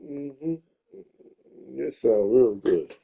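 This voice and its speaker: A man's voice: mid-range voice, animated, drawn-out words, mellow. A man in his fifties trash-talking an opponent while streaming a live football video game, profane and boastful, repeating his taunts. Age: 50s. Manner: repeats phrases. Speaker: gamer streaming online match.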